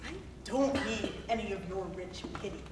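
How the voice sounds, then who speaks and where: A woman's voice: mid-range voice, normal speed, lightly acted. A woman playing an angry, defiant prisoner in a staged reading, indignant and combative. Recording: roomy stage, distant mic, faint noise.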